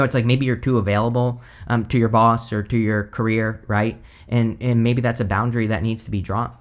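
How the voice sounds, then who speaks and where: A man giving a casual tarot reading, hoarse because he is losing his voice, rambling with lots of "like" and "you know". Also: medium pitch. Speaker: tarot reader.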